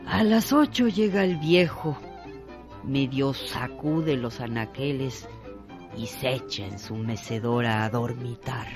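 Slow radio drama narrator over music. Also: medium pitch. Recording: music under the voice.

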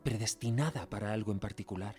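An actor playing an earnest young lawyer and idealist in love, in a radio drama with music underneath. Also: male voice, on the low side, fast, darkish tone, lightly acted. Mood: earnest, tender.

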